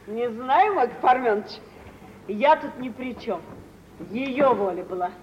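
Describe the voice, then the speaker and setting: Obsequious middle-aged mother, high voice, effusively polite, stagey 1940s radio drama, muffled old recording. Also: expressive, slow pace. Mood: meek, agreeing. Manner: effusive, deferential, exaggerated politeness. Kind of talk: 1940s theatrical radio acting. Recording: dull, noisy vintage radio play recording.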